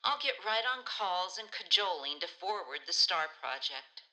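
Woman as placement counselor, bright voice, pious, playful banter, radio play. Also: lowish voice, lively intonation, moderate pace, low-quality recording. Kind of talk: community radio play acting.

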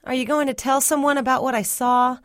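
A woman's voice: sits high, level tone, a little fast, dry recording, studio quality, pitched above the performer's own voice, lightly acted. A woman voicing an anxious, guilty young country girl with a hesitant, defensive way of talking in an audiobook. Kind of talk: audiobook character dialogue.

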